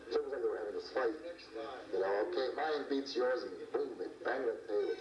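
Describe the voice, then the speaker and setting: A man whose first language is Portuguese, telling family stories in a relaxed way. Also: medium pitch, a little fast, far from the mic, a crowd in the background. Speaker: interviewee. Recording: roomy lo-fi recording with music under.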